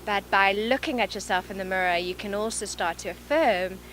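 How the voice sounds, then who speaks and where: A woman with a South African accent touched by English, a life coach speaking earnestly and softly in an interview, often repeating phrases. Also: mid-range voice, moderate pace, a little hiss or hum. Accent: South African with some English. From born in Zimbabwe, raised in South Africa. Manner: earnest, soft-spoken, repeats phrases for emphasis. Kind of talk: reflective interview talk. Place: large event venue.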